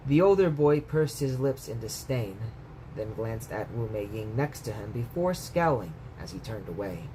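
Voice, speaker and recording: A man's voice: fairly low pitch, big pitch swings, normal speed. Man reading a story over background noise. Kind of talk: bedtime story read-aloud, scripted narration.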